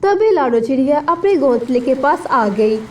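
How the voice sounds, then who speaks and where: Lady narrator for a kids story, high pitched, rain sound in back. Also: normal speed. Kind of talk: scripted children's story narration. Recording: distant voice with steady rain noise.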